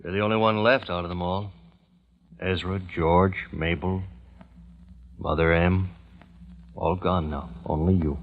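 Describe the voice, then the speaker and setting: Deep, slow, gentle dutiful son. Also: male voice, fairly even intonation. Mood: somber, reflective. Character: grown son, gentle, dutiful heir to aged leader. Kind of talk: 1940s radio drama acting. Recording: muffled, noisy old radio recording.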